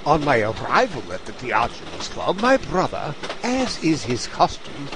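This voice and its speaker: An actor playing a fussy, formal detective in a comic audio drama. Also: male voice, medium pitch, big pitch swings, measured pace, background noise. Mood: fussy, impatient. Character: brisk, pompous, fussy English great detective. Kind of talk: audio comedy drama acting.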